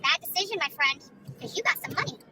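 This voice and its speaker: A woman's voice: very high voice, animated, fast talker. A woman in a confrontational, taunting, sweary rant, filmed on the street. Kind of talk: confrontational phone-video rant. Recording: distant, noisy street recording with vehicles.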